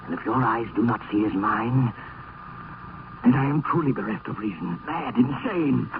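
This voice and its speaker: A man's voice: medium pitch, sing-song, normal speed, creaky, phone-quality sound. Haunted madman, noisy old radio. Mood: fearful, frantic. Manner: intense, melodramatic, haunted. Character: Roderick Usher, morbid, haunted man sliding into madness. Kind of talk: vintage radio horror acting.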